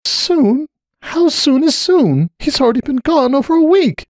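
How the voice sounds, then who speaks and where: Guy putting on frantic mom pony voice for an audiobook, way high, fretful and pleading. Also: sing-song, a little slow, no echo, studio quality, heavily acted. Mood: distraught, demanding. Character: anxious, desperate mother pony, female.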